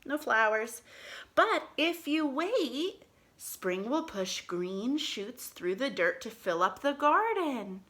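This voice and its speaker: A woman reading aloud to young children as a storytime host, expressive and sing-song, speaking to them directly with questions and encouragement. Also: high voice, slow pace.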